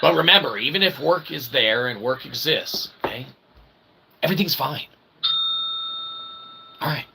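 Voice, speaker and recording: Male vlog host, creaky voice, loose rambling delivery, mock-grand wording, noisy setup. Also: mid-range voice, normal speed, pauses often. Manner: wordy mock-formal phrasing with constant "okay" tags; self-deprecating. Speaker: independent channel host and developer. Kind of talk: rambling casual monologue to viewers.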